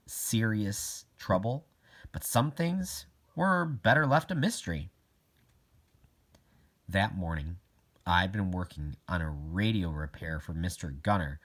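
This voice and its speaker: Low-pitched, animated male story reader. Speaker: audiobook reader. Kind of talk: reading a children's novel aloud in first person.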